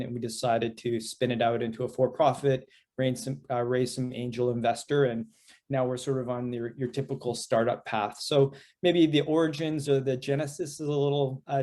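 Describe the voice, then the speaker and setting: A man from British Columbia speaks on a panel as a startup founder. His delivery is flat and even, measured and peppered with fillers. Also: mid-range voice. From Canada, British Columbia. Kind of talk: panel self-introduction.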